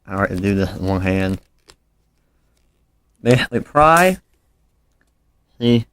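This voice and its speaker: Low-voiced man giving a casual repair how-to. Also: lively intonation, slow pace, a bit bright. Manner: informal, apologetic, talking while working with his hands. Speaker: phone repair tutorial host. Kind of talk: casual hands-on how-to narration.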